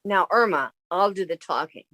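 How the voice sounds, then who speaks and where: A woman's voice: fairly low pitch, normal speed, sharp tone, dry recording. A woman playing a sensible, weary young roommate, caring and wry, in a 1950s-style radio comedy. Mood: firm. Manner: motherly, exasperated, wry.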